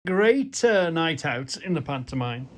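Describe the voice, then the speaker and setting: A man's voice: on the high side, very animated, a little slow. A man chatting casually for a vlog in an appreciative, exclaiming way. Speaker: travel vlogger.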